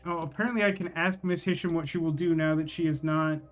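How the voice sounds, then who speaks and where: High-pitched man musing through a game stream. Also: steady tone, fast talker. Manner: rambling, musing, self-questioning. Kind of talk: casual live gameplay commentary, thinking aloud.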